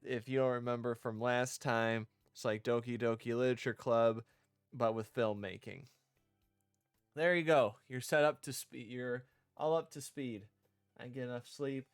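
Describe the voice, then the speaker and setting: A man casually streaming as he works on a game script, reading lines aloud and rewording them in a halting way. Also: medium pitch, dry recording, studio quality. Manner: halting, thinking out loud, repeating and rewording lines. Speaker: streamer developing own visual novel.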